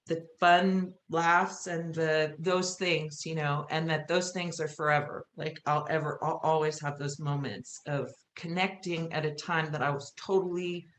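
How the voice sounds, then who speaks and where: A woman's voice: sits low, steady tone, a little slow, few pauses. A woman podcast guest chatting casually and reflectively, earnest and circling back over phrases for emphasis. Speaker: podcast guest, theatre creator. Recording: roomy echo with faint hiss.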